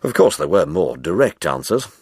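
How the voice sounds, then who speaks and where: A man reading a literary short story in a wry, measured and expressive storytelling manner, like an audiobook narrator. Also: low-pitched, husky, no room sound, studio quality.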